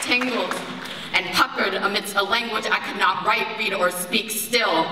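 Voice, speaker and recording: A teenage girl performs slam poetry with impassioned, rhythmic phrasing and pointed pauses. Her voice sits at an ordinary middle pitch. It rings through a big reverberant hall, heard from a distance, with a live crowd audible behind her. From United States. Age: teens. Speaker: slam poet. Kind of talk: spoken word poetry performance. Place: large performance hall, on stage. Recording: echoey hall from far off, crowd noise. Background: live audience.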